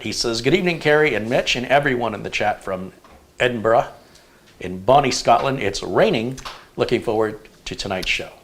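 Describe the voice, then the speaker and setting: A man hosting a live stream, chatting casually and thinking aloud with relaxed fillers. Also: medium pitch. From United States. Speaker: show host.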